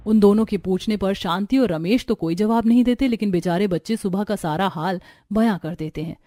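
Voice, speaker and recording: A woman's voice: mid-range voice, fairly quick, warm tone, no room sound. A woman giving scripted narration of a simple cartoon family story.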